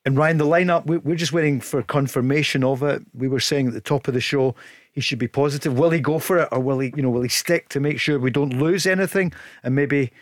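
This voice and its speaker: A man hosting a football radio show, brisk and jokey, introducing callers. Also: medium pitch, dry recording, studio quality. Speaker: radio host. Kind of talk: sports radio talk.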